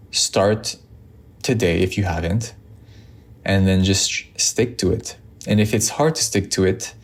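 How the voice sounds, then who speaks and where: Guy from montreal, quebec french accent, french is his first language, really low voice, lots of ums and restarts while he thinks out loud. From Montreal, Canada. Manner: loose and musing, many ums and restarts. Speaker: vlogger. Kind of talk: reflective casual talk to camera.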